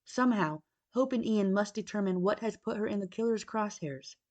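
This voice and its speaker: A woman delivers scripted narration in the manner of a book trailer. Her voice sits at an ordinary middle pitch as she reads the prepared text. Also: lively intonation, moderate pace, darkish tone, dull audio.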